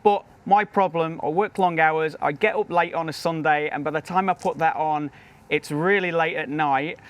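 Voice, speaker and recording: A man's voice: a little high, normal speed, no room sound. A man with a British accent, a professional chef giving a cooking demonstration at a barbecue grill in an upbeat, chatty way.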